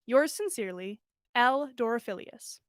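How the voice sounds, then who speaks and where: Woman plays polite correspondent, formal and measured, higher register, close dry storybook narration. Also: animated, smooth, no echo, lightly acted. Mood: courteous. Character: polite, formal letter-writer seeking a plant.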